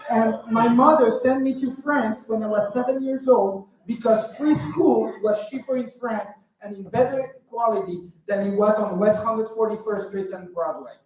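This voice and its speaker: A man's voice: sits high, moderate pace, hardly any pauses, darkish tone. A man from New York arguing animatedly on a panel, interrupting himself with "hold on". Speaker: party chair panelist. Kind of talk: panel debate. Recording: very echoey room, distant mic.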